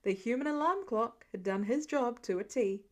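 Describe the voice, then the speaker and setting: A woman's voice: fairly high pitch, expressive, moderate pace. A woman reading scripted fiction aloud as a podfic narrator. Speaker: podfic reader.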